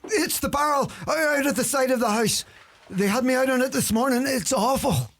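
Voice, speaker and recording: An actor playing a scrawny, idle old uncle who grumbles and boasts, with a Donegal Irish accent, in a radio play. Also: male voice, very high-pitched, normal speed, fairly bright tone. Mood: droll. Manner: grumbling, droll.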